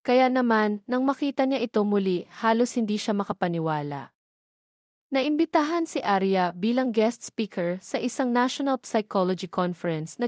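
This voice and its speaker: A woman's voice: mid-range voice, a little mellow, no room sound, studio quality. A woman reading scripted narration for a romantic audio drama, as a storyteller.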